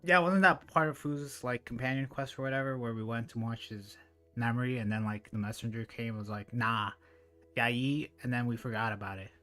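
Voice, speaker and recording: A woman's voice: rumbling low, fairly even intonation, a little fast, fairly bright tone, faint music behind. A woman streaming a game live, giving casual, slangy commentary with exclamatory reactions.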